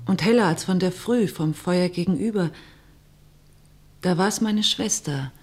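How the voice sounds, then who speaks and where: An actress playing a cautious, fearful sister who recounts wartime terror in declamatory rhymed verse for a radio drama. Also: female voice, on the low side, a little slow, a little mellow, slightly rough. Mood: grave narration. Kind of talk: theatrical verse radio drama, narrating and in dialogue.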